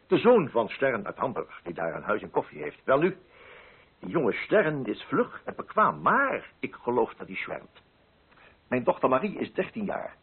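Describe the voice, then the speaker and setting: A pompous, middle-aged Dutch coffee broker in a radio drama, with a Netherlands accent. His fussy, emphatic delivery rises and falls in very animated pitch. The voice is somewhat rough, on a muffled, band-limited recording. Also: heavily acted. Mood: brisk, self-satisfied. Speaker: narrating lead character.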